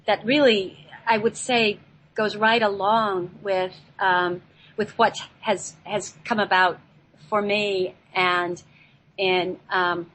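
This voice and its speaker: A woman with a mid-pitched voice speaks as a spiritual guest on a talk show. She talks unscripted and haltingly, often restarting her phrases. There is noise in the background of the recording. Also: fairly bright tone, low-quality recording. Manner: halting, searching for words, repeats herself.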